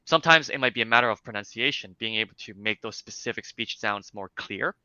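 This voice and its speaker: Man, light Cantonese accent, bright forceful tone, smooth clear voice, dry slightly dull sound. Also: on the low side, fast, dry recording. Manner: explanatory, many fillers and restarts. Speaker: interview guest, speech clinic founder. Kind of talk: casual interview.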